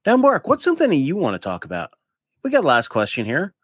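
A man's voice: on the high side, wildly swooping pitch, quick pace, fairly bright tone, husky, no echo, studio quality. A man hosting a podcast, casually putting questions and comments to the others. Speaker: podcast host. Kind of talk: casual interviewing.

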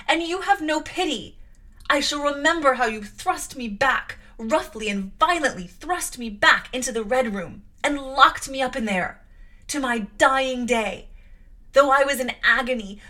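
A woman's voice: a little high, pushed and bright, heavily acted. Woman voicing a furious defiant young girl. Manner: impassioned, accusing, raised voice.

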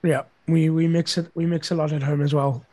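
High-voiced man hosting, dry sound. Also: level tone, fairly quick, a little mellow, no room sound. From South Africa. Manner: stammering restarts, conversational. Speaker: podcast host. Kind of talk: casual interview chat.

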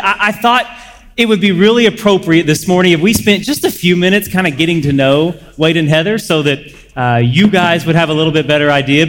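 A man hosting a casual live interview on a church stage, speaking informally and haltingly, often repeating phrases. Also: fairly high pitch, a bit bright. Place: large church room, on stage. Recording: echoey hall, clear background noise.

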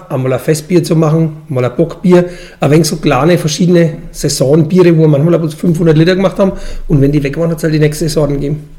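A man's voice: a little high, warm tone. A man in his forties with a Franconian accent, explaining his craft in casual, colloquial dialect as a brewmaster being interviewed. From Franconian Switzerland, Bavaria, Germany. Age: 40s. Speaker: brewmaster and brewery owner, interviewee.

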